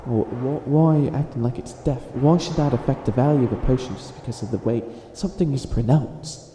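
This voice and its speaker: A flustered, self-styled evil witch, acted by a man in a comedic ASMR roleplay. The voice sits at a medium pitch and stammers through grand, theatrical boasts with drawn-out emphasis. It sounds in an echoey room with noticeable background noise. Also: mellow, lightly acted. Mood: indignant, flustered.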